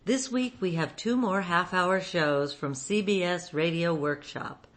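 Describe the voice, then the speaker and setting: Low-voiced woman hosting radio. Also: measured pace, a bit bright. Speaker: radio show host. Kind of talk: scripted introduction.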